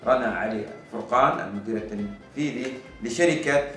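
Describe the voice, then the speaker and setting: Brisk formal male host in a roomy space. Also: medium pitch, a bit bright, soft background music. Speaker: interview host. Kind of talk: hosting an interview. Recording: roomy echo.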